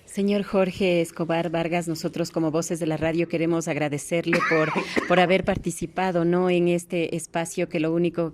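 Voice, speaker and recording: A woman hosts an interview in a slightly low voice. She addresses guest and audience courteously and formally, like a radio presenter. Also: darkish tone, a little hiss or hum. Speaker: interviewer and programme host.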